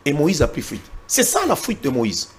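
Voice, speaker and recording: Male guest from Congo, emphatic debater with a husky, animated voice. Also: fairly high pitch, moderate pace. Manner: emphatic, repeats words when pressed, cites the Bible. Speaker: interview guest. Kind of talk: heated political debate.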